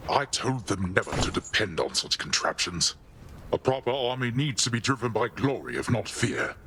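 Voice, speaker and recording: An actor voicing a stern, formal god king and father in a video game, speaking with grave, measured weight over faint game music. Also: big pitch swings, fairly bright tone. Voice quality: deep commanding voice. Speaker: game character.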